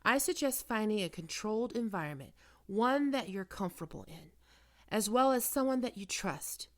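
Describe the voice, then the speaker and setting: Female psychiatrist, played by a woman, ASMR roleplay. Medium pitch, measured clinical delivery, probing and quietly controlling. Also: lively intonation, natural voice. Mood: probing, insinuating. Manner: measured clinical questioning with deliberate pauses. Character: female psychiatrist, probing, smoothly manipulative and coercive. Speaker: voice actor performing a roleplay.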